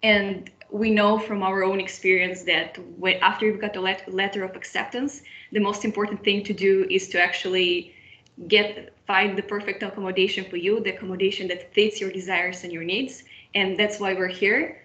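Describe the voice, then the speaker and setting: A woman's voice: mid-range voice, little pitch movement, normal speed, a bit bright. Young woman hosting a webinar over video call. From Moldova. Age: young adult. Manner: friendly, with frequent restarts and repetitions. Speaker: webinar moderator. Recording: roomy, dull video-call audio.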